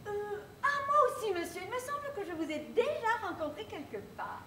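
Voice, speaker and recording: An actress playing a vague, dreamy lady who marvels in drawn-out wonder at every coincidence on a stage. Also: very high voice, very animated, sharp tone, slight background noise, heavily acted. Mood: bemused. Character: dazed, vague lady meeting a stranger. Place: theatre stage. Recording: echoey stage from far off.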